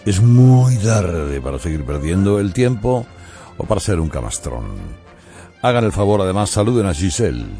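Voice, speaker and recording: A man's voice: sits low, very animated, moderate pace, dark tone, soft background music. A middle-aged man hosting a morning news show, giving opinionated commentary and addressing listeners directly. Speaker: radio morning show host.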